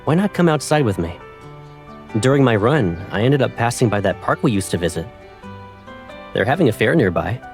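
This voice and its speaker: A man's voice: mid-range voice, very animated, normal speed, long pauses, darkish tone, faint music behind. An actor playing a playful, caring young man who teases and cajoles, in a fan dub of a romance game. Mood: coaxing. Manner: teasing, lightly cajoling.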